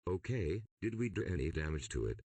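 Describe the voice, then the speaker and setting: A man playing a steady, grimly resolute military commander in a radio drama. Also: low-pitched, brisk, noisy background. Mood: questioning.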